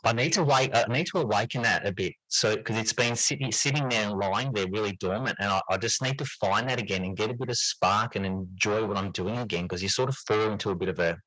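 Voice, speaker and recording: Male interview guest, lowish voice, close dry sound, thoughtful and conversational, feels his way through sentences. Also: fast talker, few pauses, pushed and bright, dry recording, low-quality recording. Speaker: interview guest, teacher and writer. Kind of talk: reflective conversational interview answers.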